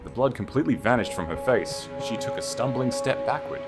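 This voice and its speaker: A man's voice: medium pitch, animated, a little fast. A man reading a scripted fantasy romance as a dramatic audiobook narrator, with music behind the narration.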